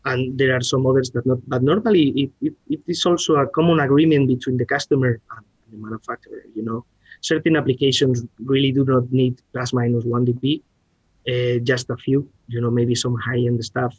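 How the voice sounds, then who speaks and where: A man with a Spanish accent explains technical matters haltingly, restarting words as he goes. His pitch is average, and the sound is dry and somewhat muffled, like a lo-fi online call. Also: fairly even intonation, breathy edge, dry recording. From Spain. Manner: halting, searching for words with repeated starts. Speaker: R&D director, podcast guest. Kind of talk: technical presentation and discussion.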